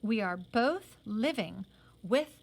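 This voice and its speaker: Woman as a dreamy, high-voiced teenage girl. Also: expressive, gravelly, exaggerated voice. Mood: matter-of-fact. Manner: musing, recounting plainly with pauses. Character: dreamy, matter-of-fact fifteen-year-old working girl.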